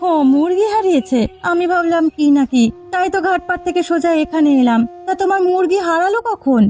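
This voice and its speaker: A woman voicing a nosy, sharp-tongued village housewife and mother in an animated folk tale. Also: extremely high pitch, fairly quick, dull audio, faint music behind. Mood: curious.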